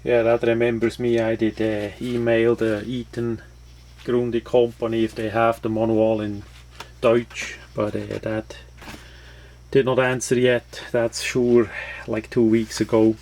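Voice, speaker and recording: A man with as his language talks through a product in a casual, unscripted way. His delivery is slow and halting, with very little rise and fall in pitch. A light layer of noise sits behind his voice. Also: level tone, darkish tone. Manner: halting, hesitant phrasing. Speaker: product reviewer.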